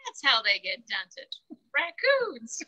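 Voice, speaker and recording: A woman's voice: on the high side, wildly swooping pitch, takes it slowly, pushed and bright, rough recording. A woman co-hosting a story time, exclaiming along with the story and asking questions about it. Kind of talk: casual reactions and questions.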